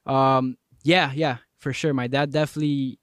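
A man's voice: mid-range voice, steady tone, normal speed, no echo. Young male podcast guest, native Spanish speaker, easygoing slangy storyteller, dry room. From Colombia. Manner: laid-back slangy storytelling with many fillers. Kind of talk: casual podcast chat.

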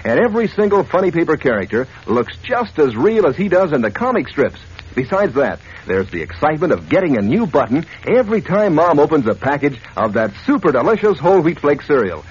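A man working as a radio announcer, delivering a folksy, enthusiastic 1940s advertising pitch. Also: big pitch swings, a little fast. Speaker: commercial announcer. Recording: dull, noisy old radio recording.

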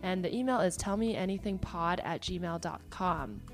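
A woman hosting a podcast in a casual, chatty way, her voice hoarse and partly lost after travel, with many fillers. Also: lowish voice, moderate pace, faint music behind. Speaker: podcast host.

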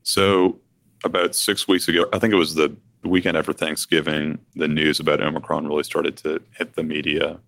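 Male financial advisor, extremely low and flat voice with gravelly fry, dry close sound. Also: normal speed, dry recording. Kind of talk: conversational finance discussion.

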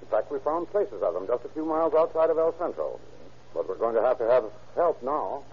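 An actor playing a determined, insistent police detective in an old-time radio crime drama. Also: male voice, medium pitch, very animated, brisk, husky. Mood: urgent. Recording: muffled old radio broadcast recording.

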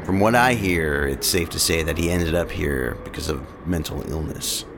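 Deep, gravelly male field agent. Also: moderate pace. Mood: matter-of-fact. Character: matter-of-fact male investigator reporting from the field. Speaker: audio drama actor. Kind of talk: science fiction audio drama dialogue. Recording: clear background ambience noise.

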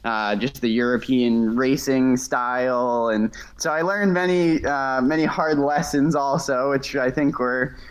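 A man's voice: medium pitch, fairly even intonation, unhurried, few pauses, clean tone, poor audio. A young man from Massachusetts, a guest in a casual interview over a remote call, talking in a hesitant way with many fillers and restarts. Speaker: guest, ultra runner.

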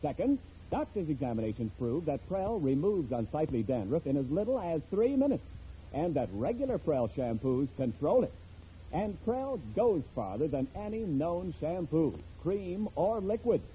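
A man delivers a vintage radio commercial as announcer. His voice is very mellow with animated swings, on a muffled recording with faint hiss. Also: on the high side, normal speed. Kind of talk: 1940s radio ad read.

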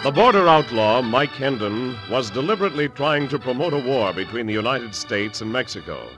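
A man's voice: mid-range voice, animated, a little fast, rough recording. A man narrating an old-time radio adventure as announcer, in a dramatic scripted style. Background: organ music.

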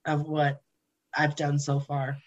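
A woman guest in a casual interview, telling candid, animated stories, swearing casually and laughing often. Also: sits low, takes it slowly, dull audio. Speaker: interview guest, blues jam host.

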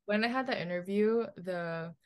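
A woman's voice: medium pitch, normal speed, darkish tone, clean tone. A woman in her twenties, a podcast guest, talking casually and easily with many "like"s. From Philippines, raised in New Jersey. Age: 20s. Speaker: guest, model and college student. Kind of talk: casual interview chat.

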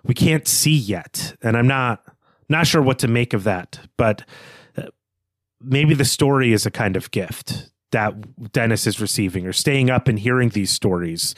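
A man's voice: mid-range voice, measured pace, no room sound, studio quality. A man co-hosting a podcast, casually discussing literature and thinking aloud in a tentative way. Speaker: podcast co-host.

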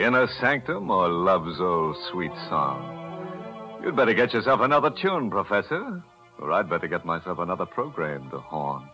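A man's voice: sits low, sharp tone, exaggerated voice. An actor playing a mocking, macabre radio horror host who chuckles at his own grim jokes, in 1940s radio acting. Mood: scoffing. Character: mocking macabre male host, ghoulish joker. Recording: dull old radio recording with music.

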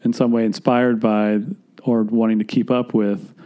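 A man from Florida, a filmmaker guest, talking in a rambling, halting, self-deprecating way in casual conversation. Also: on the low side, flat delivery, dull audio.

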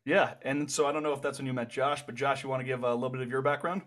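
Man, mid-pitched, laid-back slangy host, casual interview. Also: fairly even intonation, rapid-fire, a bit bright. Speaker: podcast host.

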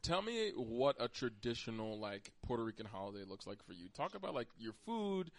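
A man's voice: medium pitch, very animated, fairly quick, no room sound. American man hosting a podcast, dry close sound. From Pennsylvania, United States. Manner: teasing banter with co-host. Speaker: podcast host. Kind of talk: casual conversational podcast chat.

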